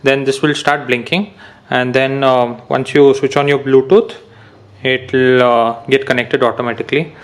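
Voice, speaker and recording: A young man explaining an unboxing and install step by step, with many pauses and fillers. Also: medium pitch. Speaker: motorcycle gear reviewer. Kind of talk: casual product unboxing and how-to.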